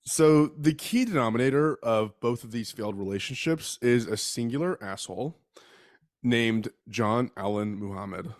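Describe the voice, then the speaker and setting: A man's voice: medium pitch, normal speed, no room sound, studio quality. A man hosting a casual true-crime podcast while sick with a respiratory bug. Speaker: podcast host.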